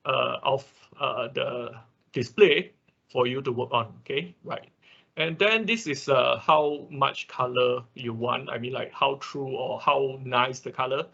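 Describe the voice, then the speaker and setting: A man teaching a software tutorial step by step in an unscripted, halting way, often marking steps with short filler confirmations. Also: medium pitch, gravelly, breathy edge. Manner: halting, often repeats "okay" and "right" as markers. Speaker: workshop instructor. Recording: dull lo-fi online class audio.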